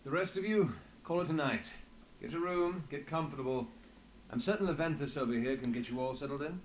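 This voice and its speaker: An actor playing a firm, earnest adventurer who leads a party in a video game, direct and familiar in manner. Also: male voice, fairly high pitch, fairly quick, a little hiss or hum, natural voice, rough recording. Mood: businesslike.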